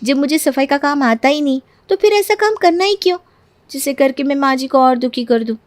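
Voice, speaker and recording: A coy, stubborn young daughter-in-law from a cartoon tale, acted by a woman. Her voice is very high and mock-innocent, recorded close and dry. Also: expressive, a little fast, no echo. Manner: mock-innocent, self-justifying. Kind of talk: cartoon moral story voice acting.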